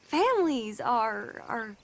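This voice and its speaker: An actress playing a spirited, idealistic young Southern belle in a period video game, with an American Southern accent and a genteel, chatty, wry manner. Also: female voice, a little high, very animated, takes it slowly, exaggerated voice. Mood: wry, confiding.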